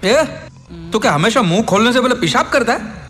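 A scheming, cranky old uncle from a comedy film, pitched very high. He scolds theatrically in street slang, his tone leaping around. Music plays clearly behind him. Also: lively intonation, a little fast, a bit bright. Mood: scolding. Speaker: gaming streamer using a voice changer.

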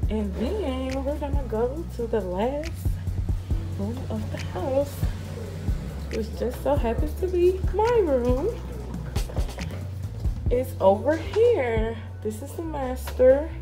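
A woman's voice: high-pitched, rough recording. A woman, chatting casually for a vlog on a handheld phone. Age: 20s. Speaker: vlogger. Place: inside a moving car, later walking through a house. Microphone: handheld phone at arm's length.

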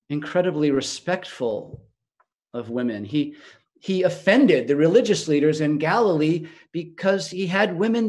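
A man's voice: a little high, darkish tone. A man playing an awestruck disciple. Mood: reflective. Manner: reflective, wondering, with emphatic pauses. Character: awestruck male disciple recounting his teacher. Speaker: actor in a biblical monologue. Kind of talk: dramatic first-person storytelling.

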